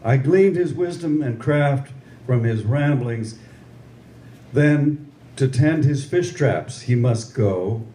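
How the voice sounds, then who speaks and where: An older man reading his poetry aloud and introducing it informally, with a measured, deliberate delivery. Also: mid-range voice, mellow. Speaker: amateur poet. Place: live reading in a room. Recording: roomy, echoing live room with faint noise.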